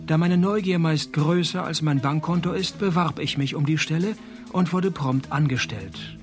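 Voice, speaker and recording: Man playing a wry, higher-voiced deadpan detective. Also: normal speed, soft background music, lightly acted. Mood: wry, matter-of-fact. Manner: dry, sarcastic deadpan. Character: wry, deadpan male private detective narrating his case. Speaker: narrator and lead character. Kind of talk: scripted radio play narration and dialogue.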